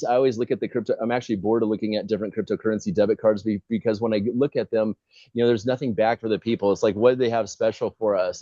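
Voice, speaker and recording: A man's voice: mid-range voice, mellow, no room sound. A man hosting a casual video-call interview, talking enthusiastically with lots of filler sounds. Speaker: host. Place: indoors, Bali. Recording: dull lo-fi video call audio.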